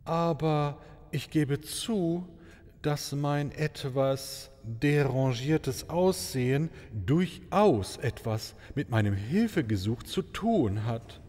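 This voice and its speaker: A man's voice: a little high, animated, takes it slowly, darkish tone. A man playing a courteous, dignified travelling maharaja in a fairy-tale audio comedy, speaking in formal, flowery euphemisms. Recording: long reverberant hall sound.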